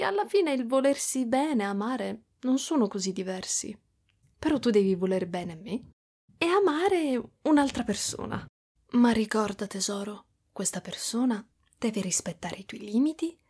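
A woman voicing a gentle, protective spider-woman mother in an intimate ASMR roleplay, soft and breathy, often slipping toward a whisper. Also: medium pitch, full character voice. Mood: flustered, affectionate, then tender, soothing. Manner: soft, cooing, hushed, drifting into whispers.

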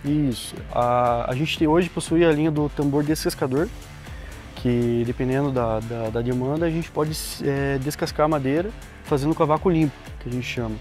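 A man's voice: medium pitch, normal speed, darkish tone, faint music behind. A man with a Brazilian Portuguese accent, the company's process director, explains the sawmill in a plain, matter-of-fact way, often restarting and repeating words. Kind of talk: casual explanatory interview. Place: outdoors at a sawmill.

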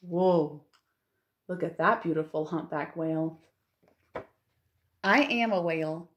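A woman in her thirties, a children's librarian, reads a picture book aloud and chats to young listeners with expressive wonder and drawn-out emphasis. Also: sits low, a little hiss or hum. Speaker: children's librarian hosting storytime. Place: living room at home, indoors.

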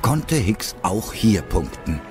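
Fairly deep, animated male narrator. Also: slow, over music. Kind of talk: scripted audio drama narration.

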